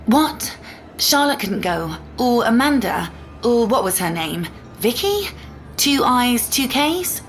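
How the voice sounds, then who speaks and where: A woman's voice: mid-range voice, expressive, slow, vocal fry, few pauses. Exasperated, fiery mother played by a female narrator, emphatic and sarcastic, audiobook drama with music underneath.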